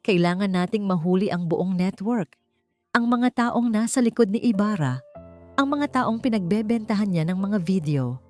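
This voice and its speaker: A woman plays a commanding billionaire man with a Filipino accent. He speaks firmly and emphatically, like a sharp boss, in audiobook dialogue with music behind. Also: medium pitch, lively intonation, moderate pace, no room sound, lightly acted. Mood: firm, resolute. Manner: firm, emphatic. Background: background music.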